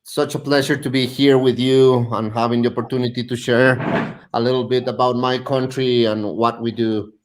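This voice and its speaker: A man, speaking at a middle, ordinary pitch. He presents over a video call in a halting way, searching for his words. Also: flat delivery. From Ecuador. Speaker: guest, tourism representative.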